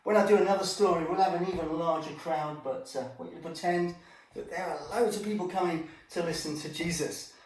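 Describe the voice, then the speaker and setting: A man's voice: on the high side, a little fast. Echoey, distant man telling children's Bible stories. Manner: chatty, addresses imagined child listeners directly. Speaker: children's Bible storyteller and presenter. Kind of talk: informal illustrated Bible story for children. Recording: echoey room, distant microphone.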